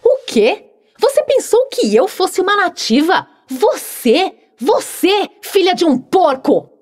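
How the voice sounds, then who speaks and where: A spoiled, imperious little girl speaks in a high, bright voice that leaps up and down as she demands and scolds, as a woman narrator puts her on. Her tone is arrogant and indignant. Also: big pitch swings, takes it slowly, exaggerated voice. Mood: furious.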